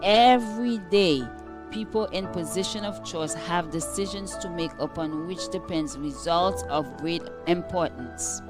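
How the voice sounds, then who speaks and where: A woman reading a scripted devotional in a measured, formal, earnest manner. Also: on the low side, over music. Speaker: devotional reader.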